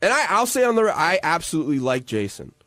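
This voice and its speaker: A man's voice: fairly high pitch, sing-song, fast talker, sharp tone, no echo, clean studio sound. A man, a radio show staffer, chatting casually and candidly in an earnest, hedging way.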